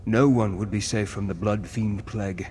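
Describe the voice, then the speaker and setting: A man's voice: on the low side, fairly even intonation, moderate pace, a little mellow, close to an everyday voice. Earnest fantasy commander, male actor, mid-range voice, stately and dramatic, with long reverb and slight background noise. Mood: solemn, reassuring. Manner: formal, solemn, dramatic. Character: noble, earnest male war leader and ally. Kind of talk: fantasy video game acting.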